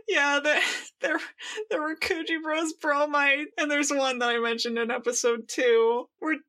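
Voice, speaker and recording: A woman's voice: sits high, steady tone, measured pace, sharp tone. A young woman co-hosting a casual fan podcast, tossing in playful, wry quips. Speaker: podcast co-host.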